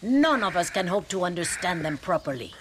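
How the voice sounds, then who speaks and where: An actress playing a devout, troubled nun in a video game, speaking in a gentle, earnest, formal way. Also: female voice, fairly low pitch, sing-song, brisk, background noise. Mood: solemn, earnest. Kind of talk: video game cutscene acting.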